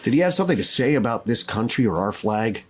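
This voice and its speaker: A man acts out a paranoid school disciplinarian for a tabletop game. He is halting and suspicious, awkwardly apologetic, with a pitch that jumps about. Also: big pitch swings, noisy background. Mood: alarmed suspicion.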